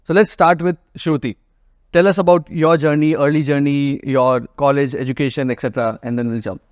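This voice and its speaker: Higher-pitched Indian male podcast host. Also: fairly even intonation, fairly quick, darkish tone, no room sound. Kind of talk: casual panel interview hosting.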